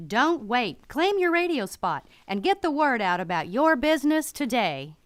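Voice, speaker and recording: A woman's voice: on the high side, sing-song, moderate pace. A woman reading a scripted radio commercial as an announcer in a studio.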